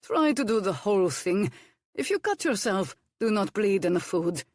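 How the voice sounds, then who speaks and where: A man's voice: high voice, sing-song, moderate pace, a little mellow, no echo, clean studio sound. A woman voicing a blunt, bossy male ship's cook who speaks broken English, in a storybook reading. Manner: broken English, curt commands.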